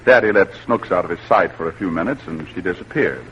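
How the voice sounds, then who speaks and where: A man's voice: low voice, quick pace. A male announcer delivering scripted narration in a 1940s radio style. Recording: dull, noisy old radio recording, music.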